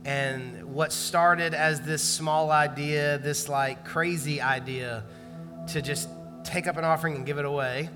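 A man's voice: on the high side, steady tone, a little slow, in a large hall, music in the background. A man, a pastor, making casual conversational church announcements and introducing a guest, with loose fillers and restarts. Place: large church auditorium.

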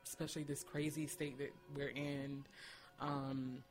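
A man talks in an earnest, reflective discussion. His pitch sits in the middle and stays very even. He picks his words hesitantly, often leaning on small filler phrases. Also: measured pace, faint music behind, low-quality recording. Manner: hesitant, thoughtful phrasing with frequent "you know". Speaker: doula and discussion participant.

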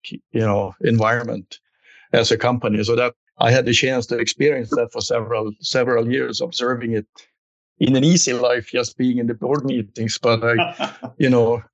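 Male executive guest, Swedish accent, fairly flat intonation, conversational, on dry, slightly muffled audio. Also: mid-range voice, no room sound. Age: middle-aged or older. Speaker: company executive, interview guest. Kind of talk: conversational interview answers.

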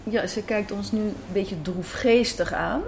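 A woman's voice: mid-range voice, unhurried, gravelly, a little mellow, a little hiss or hum. A woman telling stories casually as an interview guest. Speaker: interview guest, radio drama writer and director. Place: café in a hotel. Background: faint café ambience.

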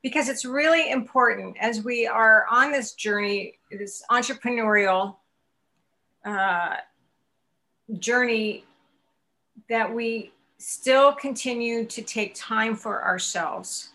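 A woman in her sixties hosts the conversation with a bright, forward voice pitched a little high. She speaks with upbeat encouragement and a motivational lift. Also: takes it slowly. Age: 60s. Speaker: podcast host. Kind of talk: interview chat.

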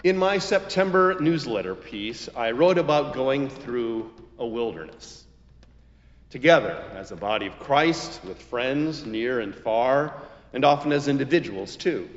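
A man's voice: medium pitch, expressive, unhurried. Guy pastor giving a sermon, careful formal phrasing, hall reverb, kinda muffled. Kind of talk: sermon read from prepared text.

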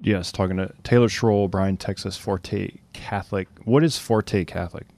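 Male radio host with a deep voice, earnest and steady, reflecting at length. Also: no room sound. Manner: earnest, measured, uses "you know" and "right?". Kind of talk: reflective interview talk on faith.

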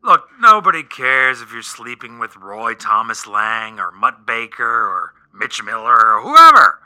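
A man's voice: medium pitch, wildly swooping pitch, unhurried, sharp tone, full character voice, rough recording. A man playing a blunt stoner friend in a radio play, direct and profane, supportive but exasperated. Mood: encouraging, impatient. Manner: profane, direct, pep-talking. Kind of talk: naturalistic comedic radio play acting.

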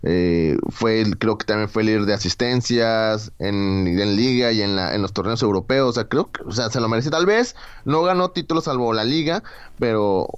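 A man's voice: on the low side, clear, resonant tone, dull audio. A man, co-hosting a casual sports chat and talking hesitantly, with many fillers. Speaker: co-host.

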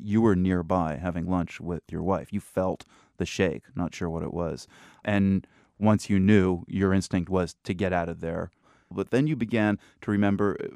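A man hosting a radio news program, asking questions in a calm, measured way. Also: low-pitched, creaky, clear, resonant tone, no room sound, clean studio sound.

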